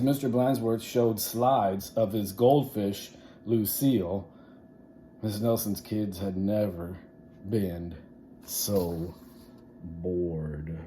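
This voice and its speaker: A man reading a children's story aloud like a teacher, expressive and deliberate, with dramatic pauses and asides. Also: lowish voice, a little hiss or hum.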